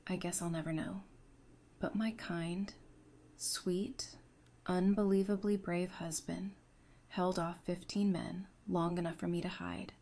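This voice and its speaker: An actress playing a resolute, composed widowed survivor of an apocalypse in an audio drama, speaking in a measured, earnest way. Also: female voice, fairly low pitch, level tone, darkish tone. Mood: grieving.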